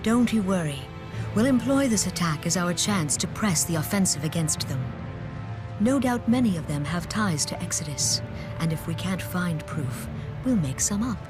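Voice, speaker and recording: Woman playing a stately, low-voiced shrewd chancellor. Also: expressive, lightly acted. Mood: confident, shrewd. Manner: formal, measured, diplomatic. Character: stately, shrewd female chancellor, composed and politically ruthless. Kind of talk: English video game voice acting. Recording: loud game music behind the voice.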